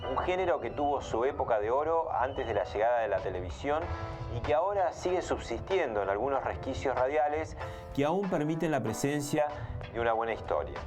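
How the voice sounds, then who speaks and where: A man hosts with measured, formal scripted documentary narration. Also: medium pitch, expressive, over music. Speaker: program host.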